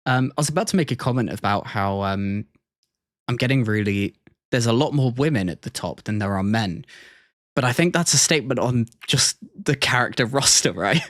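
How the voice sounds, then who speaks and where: A man's voice: medium pitch, animated, normal speed, a bit bright, dry recording, studio quality. A man hosting a livestream with casual reaction commentary, thinking aloud in a hesitant way and repeating words as he goes. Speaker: livestream host.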